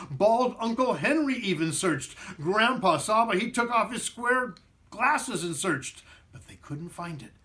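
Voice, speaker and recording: Animated man reading a children's story. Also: on the high side. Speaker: performing arts director reading a story.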